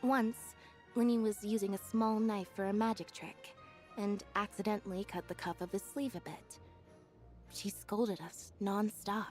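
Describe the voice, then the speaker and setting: A woman plays a quiet young stage assistant from a video game. She is shy and soft-spoken, with a mid-pitched, matter-of-fact delivery over music. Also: steady tone, unhurried, natural voice. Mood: demure recounting. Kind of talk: video game character voice line. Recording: music behind.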